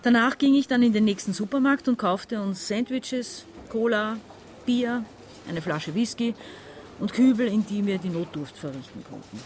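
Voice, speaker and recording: Actress as a resentful daughter, faint background noise. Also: female voice, medium pitch, expressive, darkish tone, natural voice. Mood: uneasy. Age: middle-aged. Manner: measured, confessional narration. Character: resentful, calculating daughter in her forties, haunted and struggling. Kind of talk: radio drama monologue as testimony. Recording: ambient noise behind the voice.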